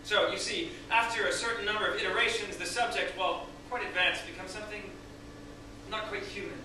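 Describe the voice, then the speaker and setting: A man's voice: sits high, fairly even intonation, a little fast, sharp tone. A man playing a proud, smug Russian scientist who lectures in a formal, condescending way in a staged script reading. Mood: grave, proud. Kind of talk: staged script read-through. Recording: echoey room, distant mic, noisy.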